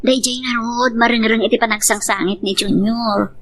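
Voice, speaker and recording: A woman's voice: a little high, fairly even intonation, moderate pace, fairly bright tone, husky. A woman playing an aggrieved, pleading young wife and mother in an audio drama, plaintive and questioning. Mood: dejected. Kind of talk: Ilocano radio-style drama acting.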